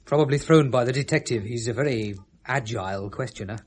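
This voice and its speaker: Nervy academic, played by a man, articulate but unsure of himself, medium pitch, 1970s radio play. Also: brisk, slight background noise, close to an everyday voice. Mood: troubled confiding. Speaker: actor. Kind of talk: 1970s British radio drama acting.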